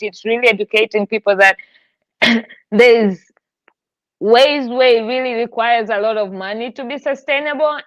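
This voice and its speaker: A woman hosting a casual podcast interview in an animated, informal, playful way. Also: on the high side, no room sound, muffled recording. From Tanzania. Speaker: podcast host.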